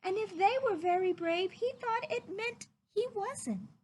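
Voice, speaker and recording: A woman voicing a gentle, patient mother raccoon telling a tale in a storybook, sweet and soothing. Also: extremely high pitch, measured pace, slight background noise, voice pushed up. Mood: patient, explaining. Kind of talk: storybook character voice.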